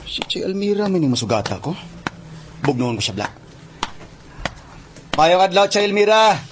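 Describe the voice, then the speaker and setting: A man's voice: high-pitched, big pitch swings, normal speed, background noise. A man acting in a radio drama as a friendly, polite young villager.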